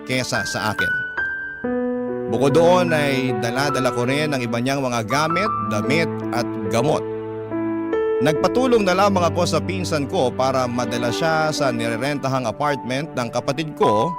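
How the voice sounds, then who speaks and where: A man with a Filipino accent reads a dramatic first-person letter as a radio drama narrator, in a measured, solemn way. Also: mid-range voice. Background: loud piano music.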